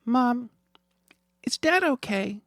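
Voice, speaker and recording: A man's voice: very high voice, lively intonation, slow, fairly bright tone, no echo, clean studio sound, voice pushed up, exaggerated voice. A man voicing a curious, worried daughter in a comic story, earnest and full of questions. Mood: concerned. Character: curious, worried daughter at home with her mother.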